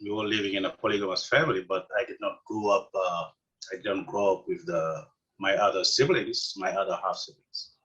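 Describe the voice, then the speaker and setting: A middle-aged man from Senegal with a Senegalese accent, answering interview questions casually with many pauses, fillers and restarts. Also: low voice, fairly even intonation, a bit bright, dull audio. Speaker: interview guest.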